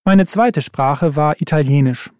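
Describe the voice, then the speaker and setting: A man reading scripted exam texts aloud in an even, careful way. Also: on the high side, a little slow, clear, resonant tone, dry recording, studio quality. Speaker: exam recording reader.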